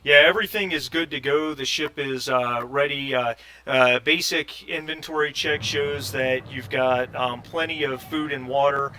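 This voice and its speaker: A man narrating casually as game master, improvising with halting pauses. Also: mid-range voice, bright, forceful tone, slight background noise.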